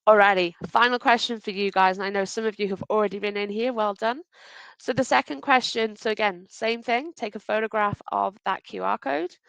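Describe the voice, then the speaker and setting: A woman's voice: medium pitch, fairly quick, gravelly, fairly bright tone, dry recording, low-quality recording. A woman from Wales with a faint Welsh accent, presenting a webinar over a video call in a conversational, practical way. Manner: conversational, practical, self-correcting.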